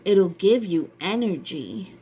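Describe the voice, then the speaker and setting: A woman's voice: on the low side, very animated, slow, dark tone, slightly rough, full character voice. A woman voicing a vain, cruel, jealous fairy-tale queen with an imperious manner in a storybook reading. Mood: coaxing. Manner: imperious, commanding. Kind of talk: storybook read-aloud.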